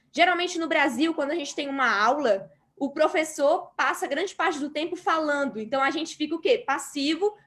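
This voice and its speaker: Brazilian woman, grad student panelist, high voice, earnest and a bit halting. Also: a bit bright. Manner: earnest, explanatory, frequent hesitations. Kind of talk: panel talk answering a moderator.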